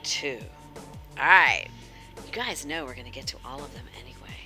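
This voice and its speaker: A woman's voice: rumbling low, lively intonation, moderate pace, sharp tone, faint music behind. A woman hosting a livestream in casual, informal chat, addressing viewers directly and often asking them questions. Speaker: livestream host. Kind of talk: casual interactive game stream chat.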